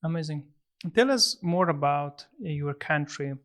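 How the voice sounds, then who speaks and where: A man's voice: fairly high pitch, lively intonation, measured pace, fairly bright tone. A man hosting a podcast interview, politely asking questions and encouraging his guest. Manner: polite and encouraging, asks in long strings of questions. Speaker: podcast host.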